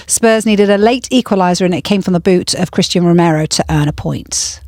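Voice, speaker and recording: Woman anchor on a sports show, brisk, very dry close mic. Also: lowish voice, darkish tone, dry recording. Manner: brisk, leads with questions. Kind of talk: live studio presenting. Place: studio.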